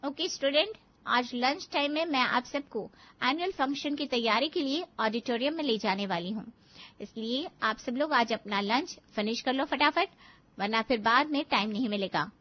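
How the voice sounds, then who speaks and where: A woman's voice: on the high side, expressive, moderate pace, voice pushed up. A woman playing a strict, concerned schoolteacher in a cartoon, firm and lecturing. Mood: brisk, concerned. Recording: dull lo-fi audio.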